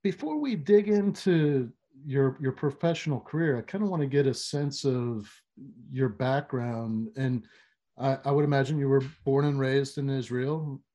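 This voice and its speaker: A man's voice: mid-range voice, dark tone. A man hosting a podcast interview, speaking with frequent fillers and restarts. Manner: halting, many fillers and restarts. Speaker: podcast host.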